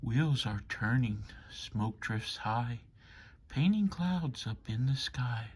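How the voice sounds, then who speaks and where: A man reading a children's bedtime story aloud in measured, rhythmic rhyme. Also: medium pitch, animated, a little hiss or hum. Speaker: storybook reader.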